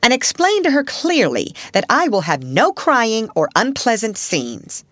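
A woman voicing a stern, cold headmistress who gives sharp, clipped orders. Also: a little high, sing-song, unhurried, no room sound, pitched above the performer's own voice, full character voice.

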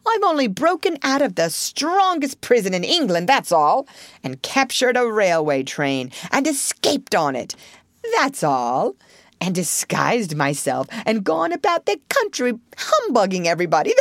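Storybook toad, male, female reader, boastful and theatrical, high and very animated, close dry sound. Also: no room sound. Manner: showy, exclamatory, rustic slips of grammar. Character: boastful excitable male toad, childishly dramatic.

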